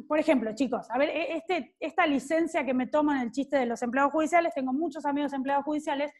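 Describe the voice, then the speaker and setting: Woman in her forties, Argentine accent, high voice, chatty law lecturer on a video call. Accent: Argentine Spanish. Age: 40s. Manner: chatty, joking asides to students by name. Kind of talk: online class lecture.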